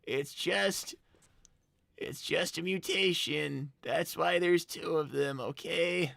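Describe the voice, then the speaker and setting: A woman's voice: deep voice, takes it slowly, gravelly, fairly bright tone, dry recording, exaggerated voice. A woman voicing an exasperated, awkwardly kind teenage boy fox, hesitant and dry in a live cartoon roleplay. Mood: defensive.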